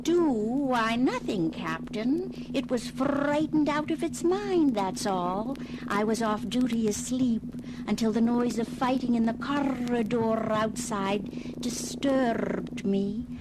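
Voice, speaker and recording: An actress playing a calm, soothing feline alien lieutenant in a science fiction audio drama, speaking unhurriedly. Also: female voice, on the high side. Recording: loud noise and animal sounds behind.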